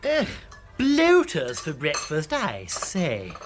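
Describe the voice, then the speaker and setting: A man playing a gossipy, foppish upper-class English gentleman in a radio drama, full of old-fashioned slang. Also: a little high, wildly swooping pitch, slow, soft background music, full character voice. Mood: peevish, chatty. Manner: slangy, tagging remarks with "what?".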